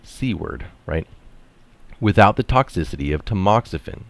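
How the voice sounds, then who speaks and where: A middle-aged man reading an article aloud with deliberate, emphatic phrasing and stressed key terms. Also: low-pitched, lively intonation, creaky, no room sound. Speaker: reader of articles. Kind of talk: reading an article aloud with brief casual asides. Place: small room indoors.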